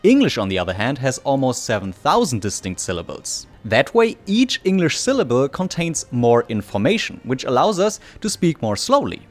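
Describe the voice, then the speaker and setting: A man's voice: medium pitch, wildly swooping pitch, moderate pace, few pauses. A man from Germany, a native German speaker, narrating a scripted video essay as its presenter. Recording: music behind the voice. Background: soft background music.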